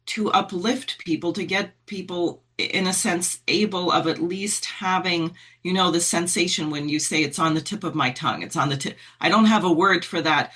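A middle-aged woman talks earnestly, working through her ideas aloud with frequent fillers. Her voice is bright and carries a husky edge. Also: fairly low pitch. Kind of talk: conversational political talk.

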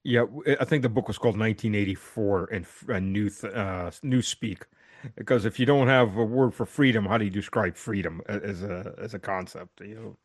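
A man's voice: fairly low pitch, a little fast. A man hosting a casual conversation, chatty, laughing easily and stumbling over his words. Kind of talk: casual chat.

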